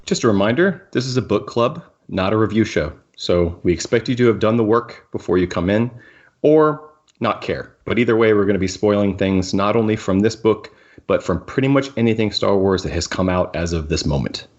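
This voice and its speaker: Male podcast co-host, casual delivery, on the low side, recorded a little muffled and lo-fi. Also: lively intonation, a little fast, a little mellow. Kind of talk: casual chat. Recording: slightly dull, lo-fi audio.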